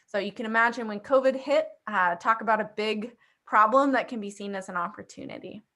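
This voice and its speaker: Woman, 30s, host of a video call; bright, friendly, conversational, lots of "um". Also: medium pitch, fairly quick. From Afghanistan and Pakistan. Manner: upbeat, conversational, many fillers. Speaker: host presenting a church software platform. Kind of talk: casual video call presentation.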